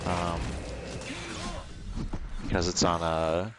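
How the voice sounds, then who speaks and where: A man with a low voice chats casually as he streams a game. He is low-key and a little hesitant, thinking out loud, with music playing behind him. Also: little pitch movement, drawn-out words. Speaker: livestreamer. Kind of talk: casual livestream gameplay commentary.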